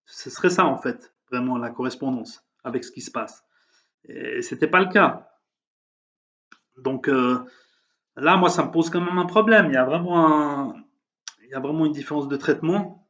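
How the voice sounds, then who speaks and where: A man's voice: a little high, normal speed, long pauses, a bit bright, dull audio. A man giving an emphatic, heated opinion monologue, hesitating often with fillers. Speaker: vlogger. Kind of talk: opinion monologue on current events.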